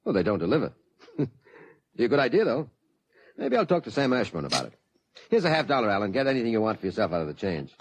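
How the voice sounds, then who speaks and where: A man playing a genial, indulgent middle-aged father in 1950s radio drama style, hearty and folksy, with a husky voice. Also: mid-range voice, wildly swooping pitch, fast talker, pauses often, exaggerated voice. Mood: easygoing.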